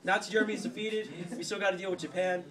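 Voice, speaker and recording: A young man telling history informally to a small group in a cocky, flippant, halting way. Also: high voice, little pitch movement, a bit bright. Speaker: storyteller host playing a cadet gunnery sergeant. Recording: distant mic, clear background chatter. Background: several people chattering and interjecting.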